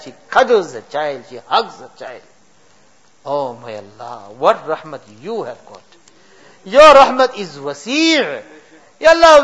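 Male imam, impassioned pleading prayer, high and very expressive. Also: rough recording. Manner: pleading, rising to impassioned cries. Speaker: imam leading a supplication. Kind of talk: emotional prayer in a mosque. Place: mosque.

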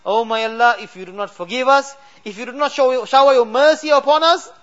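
Sex: man. Style: fervent pleading prayer, high bright voice, dull recording. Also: fairly quick. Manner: fervent, pleading, with constant refrains of the divine name. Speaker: imam leading supplication. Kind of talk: impassioned late-night prayer supplication.

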